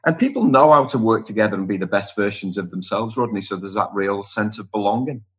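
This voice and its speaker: A man's voice: on the low side, lively intonation, brisk, fairly bright tone, husky. A middle-aged man from Liverpool, England, answering conversationally as an interview guest, with emphatic repeated phrases and lists. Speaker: podcast guest, speaker and author on workplace culture.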